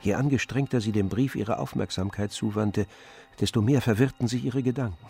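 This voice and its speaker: A man narrating a literary radio drama in a measured, grave manner. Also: fairly low pitch, vocal fry, warm tone, faint music behind.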